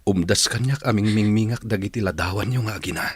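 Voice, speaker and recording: A man's voice: on the low side, fast, husky, dry recording. An actor with an Ilocano accent playing a remorseful, devoted businessman in an emotional radio drama, earnest and pleading. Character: remorseful, devoted businessman pleading with his long-lost love. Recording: radio drama with loud music bed.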